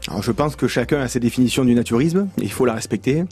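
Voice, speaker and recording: A man who directs a naturist holiday centre, answering a radio interview in a measured, explanatory way. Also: mid-range voice, expressive, warm tone, husky, faint music behind. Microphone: studio microphone.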